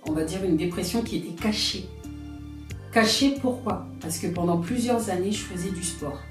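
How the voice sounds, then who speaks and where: A woman in her thirties from France, giving a personal testimony as an interview guest, speaking in a reflective, hesitant way as she searches for words. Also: lowish voice. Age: 30s. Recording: roomy, from a distance.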